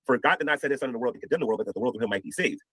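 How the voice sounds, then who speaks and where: A man's voice: mid-range voice, lively intonation, very fast, a bit bright, no room sound. An older man from the United States with a head cold, talking casually on a livestream and preaching with scripture quotes. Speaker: streamer.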